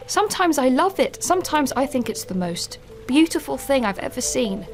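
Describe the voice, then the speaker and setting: A woman playing a hesitant young woman. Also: sits high. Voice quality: halting, soft-spoken, full of restarts. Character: young woman ending her engagement, hesitant and apologetic. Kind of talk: radio drama. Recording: faint rain noise behind. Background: rain.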